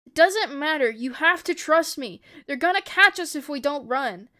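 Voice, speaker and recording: Storybook teenage girl, acted by a woman: raised pitch, frightened, defiant, insistent pleading. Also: expressive, normal speed. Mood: urgent, defiant. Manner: urgent, high, shouting protests.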